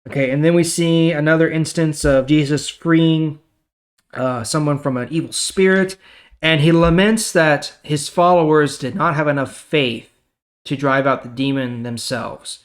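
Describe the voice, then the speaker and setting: A man's voice: fairly high pitch, unhurried. A man reading the Bible and explaining it in a casual, conversational way as host.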